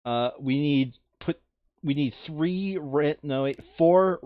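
A man's voice: a little high, slow pace, no room sound, narrow, tinny audio. A man playing a tabletop game online, switching between casual chat and reading game text aloud. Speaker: board game player reading out scenario text.

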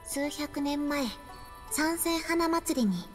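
A solemn youkai girl with a high voice, speaking in formal, old-fashioned phrases like a little storyteller. It is anime game acting over soft music. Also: takes it slowly. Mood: solemn, storytelling. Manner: formal, archaic speech. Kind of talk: Japanese anime-style game dialogue and narration.

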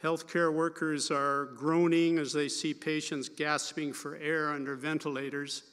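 Slow older Canadian man preaching. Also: a little high, level tone, a bit bright, smooth. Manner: slow, measured reading. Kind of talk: scripture reading and sermon. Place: large wood-paneled church sanctuary. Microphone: pulpit microphone at lectern. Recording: long church reverb.

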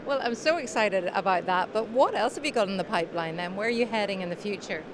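A woman in her forties interviewing at a trade show booth, asking brisk, friendly questions into a handheld mic. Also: medium pitch, crowd noise. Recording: crowded trade show hall.

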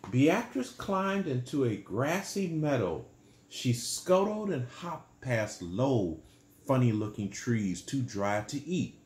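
A man in his sixties reading a children's bedtime story aloud in a small room, pausing to explain things simply and patiently. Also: mid-range voice, darkish tone, roomy sound.

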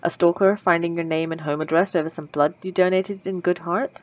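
Woman playing a nervous possessive vampire lady, formal old-fashioned talk, stammering, lowish voice, dull dry lo-fi sound. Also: steady tone, brisk, close to an everyday voice. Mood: earnest. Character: polite lovestruck female vampire, nervous and possessive. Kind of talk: ASMR roleplay.

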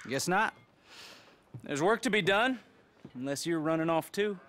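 A man's voice: a little high, expressive, unhurried, fairly bright tone. An actor playing a blunt, prying older ranch foreman, in a video game drama. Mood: prying, mocking. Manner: blunt, dry.

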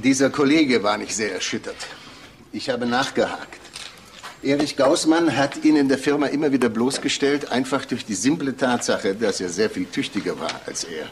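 A man playing a calm, matter-of-fact police detective in a crime drama, with dry, measured delivery. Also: medium pitch, a little hiss or hum, natural voice. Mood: reporting. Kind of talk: German TV crime drama acting.

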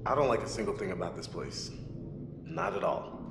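A calm, practical young man. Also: fairly low pitch, moderate pace, creaky. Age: young adult. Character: cautious steady young man on a ghost ship. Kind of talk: English game cutscene dialogue. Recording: reverberant hold with ambient noise.

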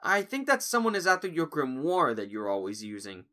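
A man's voice: a little high, huge pitch swings, fairly quick, bright, forceful tone, exaggerated voice. A man playing a nervous but clever young human in a cartoon audio drama, hesitant and wry. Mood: confident.

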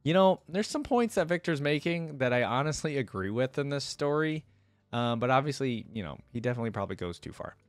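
A man's voice: medium pitch, big pitch swings, a little fast, dry recording. A man in his thirties doing casual, conversational reaction commentary and story reading, close on a desk microphone. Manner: conversational, checks in with "you know". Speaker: reaction video host. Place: small indoor streaming room.

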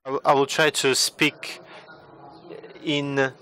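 A man's voice: mid-range voice, little pitch movement, takes it slowly, background noise. A man from Tunisia speaking from the audience in an earnest way, often repeating himself. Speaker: audience member. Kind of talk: question from the floor.

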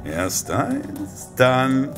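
An actor playing a menacing, sadistic vigilante posing as Santa, taunting deliberately with mock friendliness in a horror radio play. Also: male voice, mid-range voice, huge pitch swings, music in the background, exaggerated voice. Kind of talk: German horror audio drama acting.

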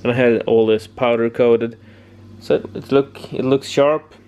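A man giving a casual, unscripted hobby demonstration, haltingly searching for words, in a home workshop. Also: medium pitch, steady tone, a little mellow. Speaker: amateur radio hobbyist presenting homebrew project. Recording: clear background noise, room ambience.

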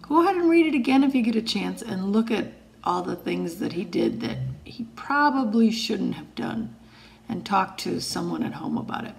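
A woman in her forties speaking deliberately and simply, as a teacher reading a story aloud to children. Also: medium pitch, expressive, vocal fry, a little hiss or hum. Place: indoors, wood-panelled room.

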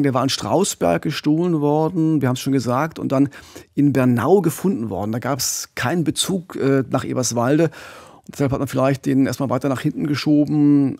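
Middle aged guy telling a crime case on a podcast, gravelly voice, dry close studio mic. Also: mid-range voice, a little mellow, dry recording. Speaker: true-crime podcast co-host. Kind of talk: conversational case narration. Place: small indoor podcast studio. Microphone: close studio condenser microphone with pop filter.

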